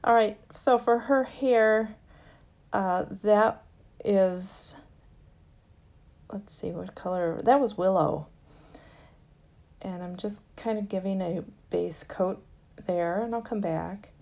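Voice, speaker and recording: A woman giving a casual, gently explanatory tutorial narration in an unhurried way, as the host of a craft lesson. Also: mid-range voice, gravelly.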